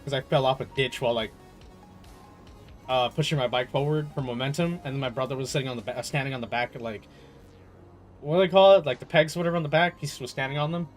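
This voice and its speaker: A man livestreaming a game and chatting casually with viewers in a jokey, animated storytelling manner. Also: mid-range voice, fast talker. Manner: animated storytelling, jokey, swearing, laughs often. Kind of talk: casual chat with viewers while gaming. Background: game music.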